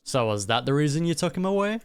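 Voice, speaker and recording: Very low-pitched woman reacting casually. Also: big pitch swings, quick pace, smooth. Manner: playful, slangy. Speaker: streamer reacting to a game story. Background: game music and dialogue.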